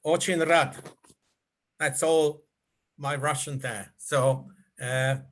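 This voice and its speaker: An older man from Switzerland, speaking as a guest at a club meeting over a video call. He talks in a measured, polite way, with frequent filler pauses. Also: fairly high pitch, fairly even intonation, fairly bright tone.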